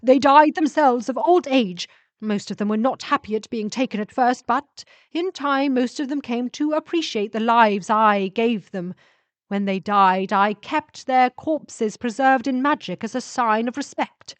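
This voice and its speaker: Woman voicing a slow, needy, menacing alien spaceship. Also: on the high side, dry recording, voice pushed up, close to an everyday voice. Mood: defensive. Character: needy, menacing sentient alien spaceship, male, pleading and indignant.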